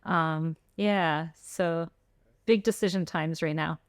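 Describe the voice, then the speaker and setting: A woman's voice: sits low, steady tone, takes it slowly, clean tone, no room sound, clean studio sound. A middle-aged woman from Canada chatting informally as an interview guest, often saying "like" and "you know". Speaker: podcast guest, traveling homeschooling mother. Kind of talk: casual interview chat.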